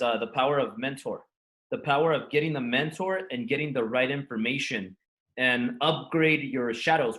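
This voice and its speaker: Brief: male guest, native Spanish speaker, raised in LA, earnest storyteller, mid pitch. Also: a bit bright, poor audio. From Los Angeles, USA. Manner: earnest, frank, loose run-on telling with restarts. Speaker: entrepreneur guest. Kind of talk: interview storytelling.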